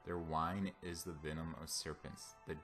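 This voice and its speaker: A man's voice: a bass voice, level tone, moderate pace, fairly bright tone, faint music behind. A man gives a dramatic, solemn and declamatory reading of scripture. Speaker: scripture reader.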